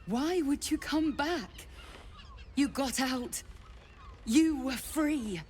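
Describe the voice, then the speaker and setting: A woman's voice: sits high, extremely slow, darkish tone, a little hiss or hum. An actress playing an anxious, dutiful female vampire spawn in a video game, earnest and uneasy in her delivery. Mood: disbelieving. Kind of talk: dramatic video game voice acting.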